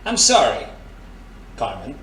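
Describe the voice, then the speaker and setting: A man's voice: medium pitch, huge pitch swings, takes it slowly, sharp tone, full character voice. A man playing a brash, wisecracking ex-thief in a pulp adventure audio drama. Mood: awkward, guarded. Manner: wisecracking, slangy tough-guy talk. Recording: roomy, distant mic, faint noise.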